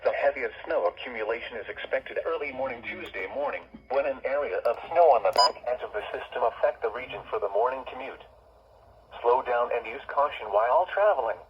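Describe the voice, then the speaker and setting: A synthetic American male announcer reading a formal weather bulletin in a measured way, heard through a radio speaker. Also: medium pitch, highs cut off. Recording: noisy radio speaker playback.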